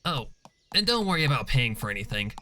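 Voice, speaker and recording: A man voicing a cheerful, excitable young schoolgirl pony in a chatty manner for a story. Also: medium pitch, brisk, a little hiss or hum. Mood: cheerful, eager.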